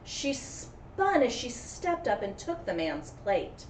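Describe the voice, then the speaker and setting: An elementary teacher reads aloud in an unhurried, very lively voice, giving the storybook drama and encouragement. She is recorded at a distance in a roomy, echoing space. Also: on the high side. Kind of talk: expressive children's read-aloud with friendly chat. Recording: roomy echo, distant mic, faint noise.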